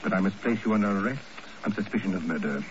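An actor playing a coolly courteous, formal military intelligence colonel in a 1950s radio drama. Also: male voice, on the low side, expressive, natural voice. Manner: stiff, measured, formal. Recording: noisy, dull old radio recording.

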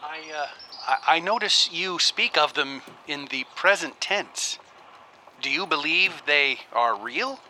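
Bright, high-voiced polite professor. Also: male voice, lively intonation, slow, heavily acted. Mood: curious, probing. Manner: hesitant, probing, courteous. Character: polite, inquisitive male professor interviewing for research. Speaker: interviewer. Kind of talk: radio drama acting, interview. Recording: faintly noisy old cylinder recording.

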